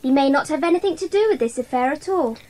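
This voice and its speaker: A woman's voice: sits high, lively intonation, fast talker, a little mellow. A woman playing an earnest child in a detective club in a children's audio drama, reasoning in a matter-of-fact way.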